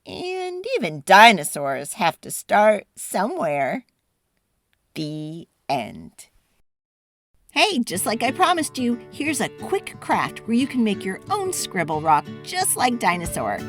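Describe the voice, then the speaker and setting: Very animated woman reading to kids. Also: mid-range voice, faint music behind. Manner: animated, deliberate, dramatic phrasing. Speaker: children's read-aloud host. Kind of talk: expressive storybook narration for children.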